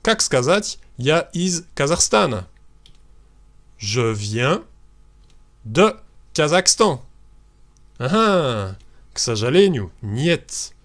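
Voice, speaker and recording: French teacher guy from france, talks super slow, voice goes up and down a lot, spells out each example. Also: fairly high pitch, big pitch swings, fairly bright tone. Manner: slow, deliberate model sentences for learners to repeat. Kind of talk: language lesson for beginners.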